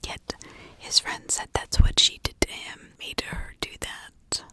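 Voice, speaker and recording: A woman hosting an ASMR video, talking informally and personally in a hushed, confiding way. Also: unhurried, no room sound.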